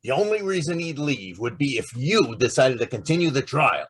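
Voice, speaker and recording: Radio drama newsman, played by a man: eager, pushy, hesitant, animated husky delivery. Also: medium pitch, normal speed. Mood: eager, pressing. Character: eager, breezy newspaper reporter. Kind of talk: old-time radio drama acting.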